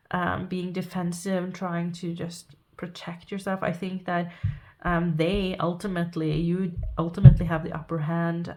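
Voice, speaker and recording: Low gravelly Norwegian woman reading tarot. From Norway. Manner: halting, thinking aloud with restarts and soft laughs. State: sniffly. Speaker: tarot reader. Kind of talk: pick-a-card tarot reading.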